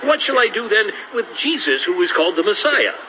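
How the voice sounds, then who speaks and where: An actor playing forceful men of authority in ancient Judea in a dramatised scripture audio production. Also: male voice, high voice, sing-song, a little fast, very noisy. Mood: questioning authority.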